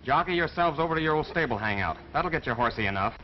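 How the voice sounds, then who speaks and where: An actor playing a brisk, stern grown man. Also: fairly high pitch, animated, lightly acted. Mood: scolding. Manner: dry, scolding. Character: stern adult man scolding the boys. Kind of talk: 1940s film acting. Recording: dull old film soundtrack with hiss.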